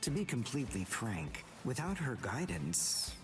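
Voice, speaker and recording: An actor playing a cold, arrogant teenage boy rival in an English anime game dub, speaking in a deliberate, condescending way. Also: mid-range voice, big pitch swings, far from the mic, faint music behind. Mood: taunting.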